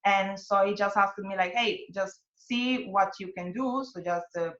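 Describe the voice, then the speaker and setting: A woman's voice: mid-range voice, steady tone, a little slow, a bit bright. A woman with an Italian accent, a guest explaining things in friendly, searching speech on a video call. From Italy. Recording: muffled roomy video call audio.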